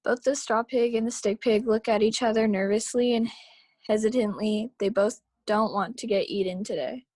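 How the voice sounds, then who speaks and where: A woman's voice: a little high, moderate pace, darkish tone, no echo. A woman reading scripted story narration aloud at a table read over a video call.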